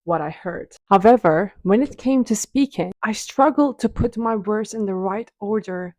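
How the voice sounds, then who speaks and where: A woman's voice: mid-range voice, normal speed, darkish tone, no echo. Turkish-accented woman vlogger, dry sound. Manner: chatty, restarts phrases while explaining. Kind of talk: casual explainer to camera.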